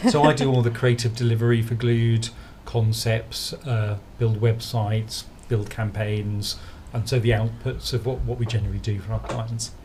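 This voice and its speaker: A man with a calm voice guesting on a podcast, chatting and chuckling. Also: mid-range voice, normal speed, hardly any pauses, slight background noise. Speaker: podcast guest, creative designer. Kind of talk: casual chat.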